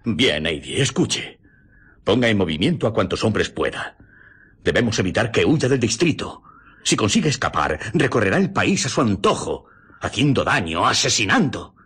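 An actor playing an anxious, agitated doctor in a Spanish radio drama, urgent, theatrical and sometimes stammering. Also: male voice, on the low side, sing-song, moderate pace, a bit bright, breathy edge, full character voice.

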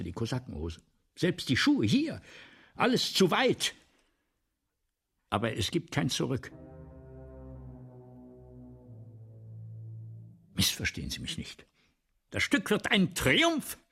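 An actor in a radio play as a vain, anxious middle-aged playwright, obsessive, halting and theatrical, repeating himself. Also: male voice, a little high, huge pitch swings, fairly bright tone, full character voice. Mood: excited, insistent. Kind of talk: 1970s German radio play acting.

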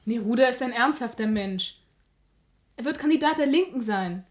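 An actress playing a headstrong, infatuated young daughter who protests earnestly in a radio drama. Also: female voice, fairly high pitch, lively intonation, moderate pace, natural voice. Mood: stubborn, incredulous. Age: young adult. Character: defiant, infatuated young daughter standing up to her mother.

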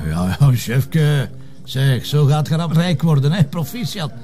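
A man's voice: fairly high pitch, very animated, unhurried, dark tone, faint music behind. A man acting a grumbling, sarcastic middle-aged police commissioner in a TV crime drama, with a colloquial, teasing Flemish way of talking.

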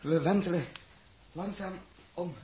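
An actor playing a strained, exhausted but dogged spaceship captain in a 1960s radio drama, halting and repeating himself. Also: male voice, high voice, a little mellow. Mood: exhausted, relieved. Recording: slightly noisy 1960s radio recording.

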